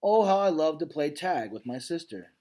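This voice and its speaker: A man voicing a gentle, weary, reluctant male silverback gorilla who tells his story reflectively in a storybook reading. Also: on the high side, very animated, normal speed, voice pushed up.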